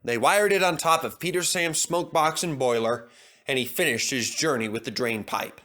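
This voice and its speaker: A man's voice: mid-range voice, normal speed, a bit bright. A man reading a children's story as a scripted narrator.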